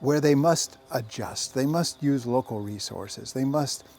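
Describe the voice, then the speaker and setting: A man's voice: medium pitch, a little slow, creaky, a little mellow. A man speaking in a documentary interview as a member of staff at a training institute.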